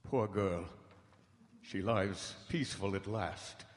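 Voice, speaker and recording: An actor playing a grave, anguished doctor who reads his private journal in solemn, measured radio horror style. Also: low-pitched. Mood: grave, horrified. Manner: solemn, dramatic, measured storytelling. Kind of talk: old-time radio horror drama acting. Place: large hall stage before live audience. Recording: echoey hall, live stage broadcast.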